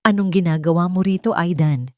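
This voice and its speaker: A woman's voice: lowish voice, brisk, darkish tone, no room sound, studio quality, natural voice. A woman voicing a bankrupt businesswoman who speaks in a shaky, sarcastic way, in a storybook drama. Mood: desperate.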